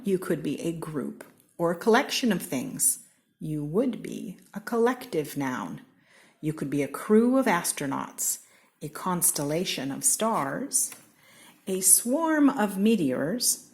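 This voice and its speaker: A woman from Seattle with an American accent, reading a children's picture book aloud in a slow, expressive way. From Seattle, Washington. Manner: slow, expressive read-aloud with lively pitch swings. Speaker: storybook reader.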